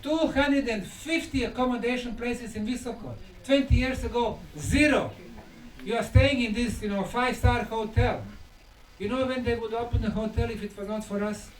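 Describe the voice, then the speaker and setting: A man's voice: very high voice, fairly even intonation, moderate pace, a little hiss or hum. A man in his sixties with a Bosnian accent, giving an emphatic conference lecture in short declarative phrases. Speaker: lecturer and researcher. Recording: echoey hall from far off, music behind.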